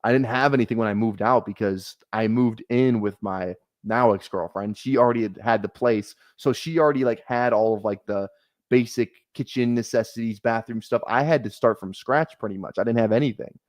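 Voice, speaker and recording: A man's voice: medium pitch, fairly quick. A man in his mid-twenties talks loosely to his stream chat, wandering off track, swearing freely and laughing at himself. His voice sits in an ordinary middle range. The sound is dry and close, with no room echo. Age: 20s. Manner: rambling, laid-back, swears often, laughs at himself. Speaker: fantasy football streamer. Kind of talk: casual livestream chat. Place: small apartment room.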